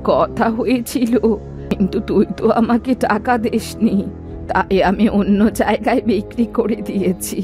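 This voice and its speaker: A woman's voice: mid-range voice, normal speed, close to an everyday voice. Stubborn old village woman played by an actress, music behind. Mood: defiant. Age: older. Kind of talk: Bengali cartoon moral-story dialogue.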